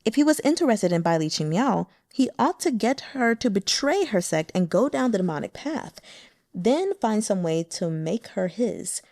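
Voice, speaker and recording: A woman reading a translated fantasy novel aloud as an audiobook narrator, in an expressive, measured storytelling manner. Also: medium pitch, no room sound, studio quality. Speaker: audiobook reader.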